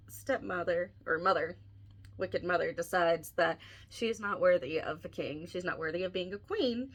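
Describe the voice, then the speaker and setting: A woman's voice: on the low side, fairly bright tone. A woman hosting a podcast casually retells a fairy tale in an informal way, adding wry asides as she goes. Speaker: podcast host and author.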